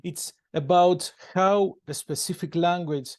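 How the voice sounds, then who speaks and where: A man's voice: high-pitched, measured pace, darkish tone. A man interviewing a band, hesitant, often repeating words and laughing. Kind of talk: casual interview questions.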